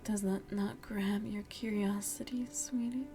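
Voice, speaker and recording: A woman playing a seductive, motherly vampire in an ASMR roleplay, speaking in a hushed, breathy, coaxing way with quiet menace, close to the mic. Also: medium pitch, steady tone, unhurried, natural voice. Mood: ominous, intriguing. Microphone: close microphone.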